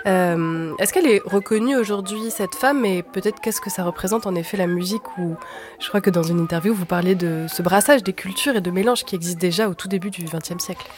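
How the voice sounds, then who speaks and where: Low-voiced woman radio host. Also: fairly quick, faint music behind. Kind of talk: radio interview. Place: radio studio.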